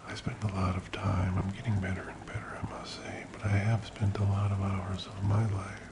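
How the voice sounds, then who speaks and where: A man speaking very softly as an ASMR host, slow and soothing, often slipping into a whisper in a creaky murmur. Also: sits low, completely flat delivery, very noisy. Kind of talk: soft-spoken ASMR personal attention, slow guided relaxation.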